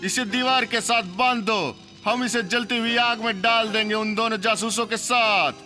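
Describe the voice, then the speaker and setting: A man's voice: extremely high pitch, steady tone, moderate pace, bright, forceful tone, faint music behind. A man voicing a cruel, pompous cartoon tyrant who gloats and menaces his captives. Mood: menacing. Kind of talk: animated cartoon dub acting.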